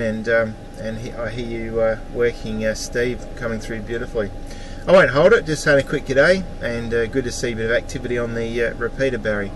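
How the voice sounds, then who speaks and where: An Australian man working as a mobile amateur radio operator, trading casual greetings and callsigns. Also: mid-range voice. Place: vehicle, out on the road. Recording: faintly noisy mobile radio in vehicle.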